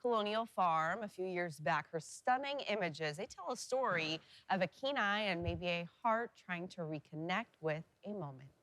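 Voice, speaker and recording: Lower-pitched woman presenting on TV news. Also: expressive, normal speed, hardly any pauses, fairly bright tone, dry recording, rough recording. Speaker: TV co-anchor and weather presenter. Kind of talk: conversational broadcast presenting.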